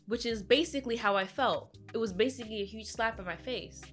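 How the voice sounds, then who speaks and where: Woman vlogging, emphatic, high voice. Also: fairly quick, faint music behind. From Brooklyn, New York, with Trinidadian roots. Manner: conversational, emphatic, acts out voices of others. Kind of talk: candid personal commentary to camera.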